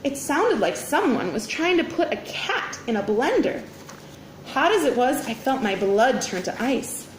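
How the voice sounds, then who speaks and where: Female read-aloud storyteller for kids, bright-eyed teacher delivery, highish voice, dramatic phrasing, echoey room. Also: big pitch swings, unhurried. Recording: roomy echo, faint background noise.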